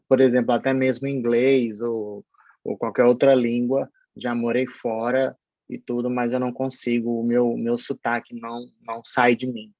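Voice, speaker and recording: A lecturer from Paraíba with a Northeastern Brazilian accent, giving an online university class in a hesitant, explanatory way with many fillers and restarts. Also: male voice, mid-range voice, no echo, lo-fi sound. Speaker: pharmacology lecturer.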